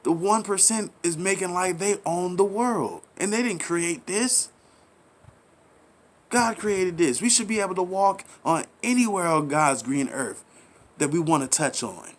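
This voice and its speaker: A man's voice: high-pitched, animated, unhurried. A man giving a rambling, preachy monologue, repeating himself, asking rhetorical questions and urging listeners in casual slang. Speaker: commentary channel host. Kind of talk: impassioned monologue.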